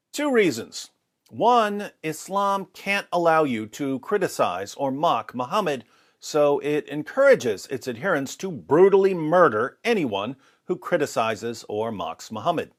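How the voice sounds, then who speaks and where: A man delivering scripted commentary as a host, in an emphatic, deliberate, rhetorical manner. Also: on the high side, sing-song.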